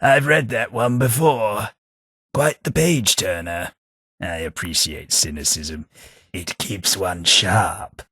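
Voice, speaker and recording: A man voicing a posh, sardonic British gentleman companion in a game, with a British accent. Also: on the low side, slow, slightly rough, no echo, exaggerated voice. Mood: wry. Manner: dry, wry, posh and sardonic. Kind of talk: game voice lines.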